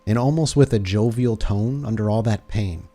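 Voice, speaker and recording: A man's voice: on the low side, moderate pace, warm tone. A man narrating a tabletop roleplaying game as storyteller, describing scenes like film shots.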